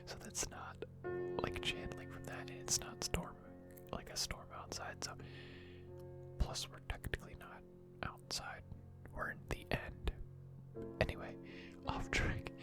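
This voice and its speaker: A person whispering casual, rambling ASMR gaming commentary in a hushed, chatty way, close to the microphone. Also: measured pace, pauses often. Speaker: video game streamer. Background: music.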